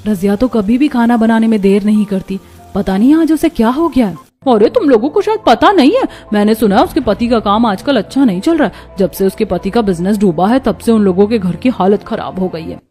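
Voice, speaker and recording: A woman voicing friendly, chatty young housewives in a cartoon story, with expressive neighbourly talk. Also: medium pitch, a little fast, faint music behind, close to an everyday voice. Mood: concerned.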